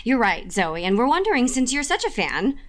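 An actress playing a frazzled, wry musical writer in an audio comedy, flustered and exasperated. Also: female voice, on the high side, very animated, fairly quick, fairly bright tone. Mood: flustered, placating. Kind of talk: comedic audio drama acting.

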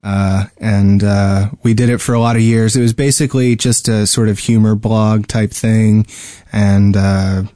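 A man's voice: on the low side, steady tone, measured pace, dark tone, no echo, clean studio sound. A man co-hosting a gaming podcast in casual chat, talking hesitantly and often repeating words mid-sentence. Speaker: podcast co-host.

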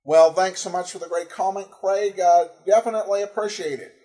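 A man hosting a podcast, speaking informally in a halting, hesitant way as he reads and answers listener feedback. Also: high voice, fairly even intonation, warm tone. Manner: halting, hesitant, breaks up phrases. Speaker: podcast host.

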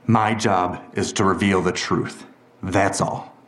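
An actor playing a ruthless, controlled police detective in an audio drama, speaking in a measured, coldly threatening way. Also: male voice, low voice, steady tone, fairly bright tone, echoey room.

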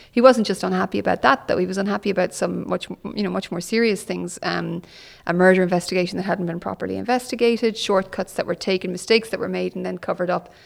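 An Irish woman author being interviewed on a podcast, recounting events in an animated storytelling manner. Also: lowish voice, gravelly. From Ireland. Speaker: author guest.

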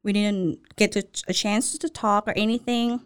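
A woman from Thailand with a Thai accent, a restaurant owner telling her story in a casual interview, speaking in halting, simple phrases. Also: medium pitch, fairly even intonation, clear, resonant tone.

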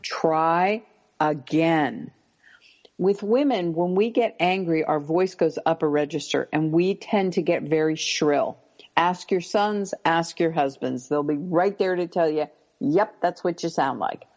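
Low-voiced middle-aged lady, calm and slow on key words, kinda muffled audio. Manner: calm, deliberate, slows words for emphasis. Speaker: parenting expert guest. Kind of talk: advice-giving interview talk.

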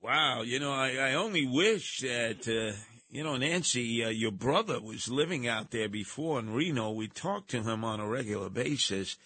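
A man's voice: mid-range voice, expressive, normal speed, hardly any pauses, pushed and bright, no room sound. A man with a New York accent hosting live call-in talk radio, talking in an emphatic, theatrical, rambling way. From New York City. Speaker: radio talk show host.

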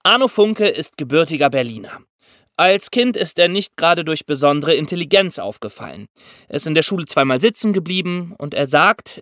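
Lively high-voiced man hosting a podcast. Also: no echo, clean studio sound. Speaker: podcast co-host. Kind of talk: explanatory true-crime podcast talk.

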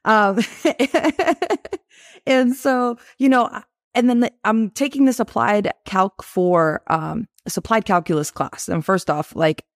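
A young woman from Albania, raised in Boston, chatting energetically as an interview guest, quick to laugh. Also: lowish voice, no echo, clean studio sound. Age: 20s. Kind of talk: casual interview talk.

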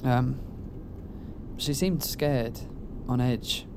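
Anxious, wry helper, noisy backdrop. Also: female voice, very deep voice, extremely slow, full character voice. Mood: concerned, sincere. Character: anxious, loyal, wry relative helping a fugitive. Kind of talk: naturalistic audio drama acting. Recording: noisy car ambience.

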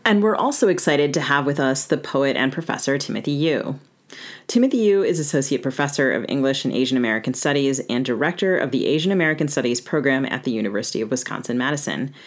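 A man's voice: mid-range voice, expressive, fast. A man co-hosting a podcast, reading a scripted introduction of a guest. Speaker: podcast co-host.

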